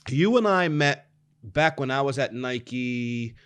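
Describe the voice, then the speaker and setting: A man from New York City hosting a podcast in a small studio, chatting casually into a boom mic and laughing easily. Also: slow, clear, resonant tone, no echo. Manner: relaxed, laughs often, loose run-on questions. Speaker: podcast host. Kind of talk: casual interview chat. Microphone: studio mic on boom arm, headphones.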